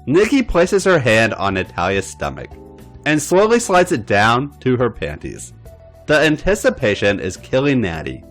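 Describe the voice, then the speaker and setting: A man narrating a story aloud as a podcast host, with an expressive reading style. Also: mid-range voice, moderate pace, faint music behind.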